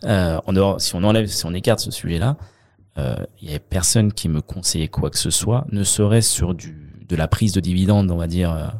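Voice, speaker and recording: French male accountant guest, deep mellow voice, halting delivery full of fillers. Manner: hesitant, many fillers and restarts. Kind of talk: conversational interview.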